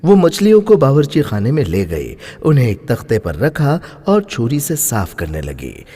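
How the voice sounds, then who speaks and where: A man narrating a scripted moral story in an expressive, emphatic storytelling manner. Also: medium pitch, normal speed, breathy edge. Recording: long hall-like reverb.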